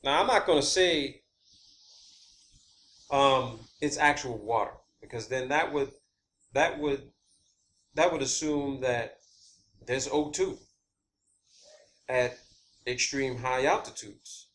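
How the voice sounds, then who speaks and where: A man hosting a video, giving a rambling, unscripted explanation of his own theories in a halting, emphatic, blunt and casual way, repeating key words. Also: medium pitch, a bit bright. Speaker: video channel host. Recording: clear background ambient noise.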